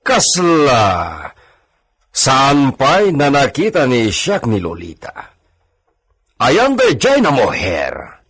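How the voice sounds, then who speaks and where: Radio drama imperious male landowner: husky, slow delivery, big pitch swings, suspicious, hot-tempered. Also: wildly swooping pitch, a bit bright, heavily acted. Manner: imperious, sprinkles speech with Spanish exclamations. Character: domineering, suspicious rich landowner husband, quick to rage.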